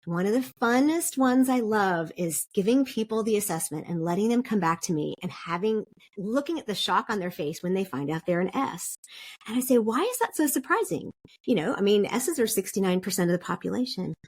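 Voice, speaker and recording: Lively middle-aged woman, podcast guest. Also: mid-range voice, fairly quick. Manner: animated, laughs often, explains with examples. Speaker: podcast guest, DISC consultant. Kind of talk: casual interview chat.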